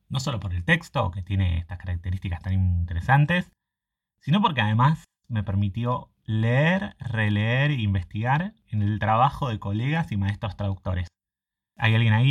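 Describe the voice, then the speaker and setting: A man speaks at an average pitch, presenting in a prepared, explanatory monologue. The sound is a little dull and lo-fi, like a sent-in voice message. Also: slightly rough. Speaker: literary translator, guest.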